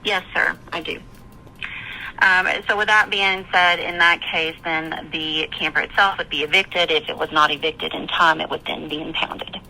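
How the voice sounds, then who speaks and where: A woman with a low, forceful voice speaks over a thin telephone line as a manager. She is formal and polite yet firm, with halting, repetitive phrasing. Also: steady tone, a little hiss or hum. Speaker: campground management staff. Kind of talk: formal customer phone conversation.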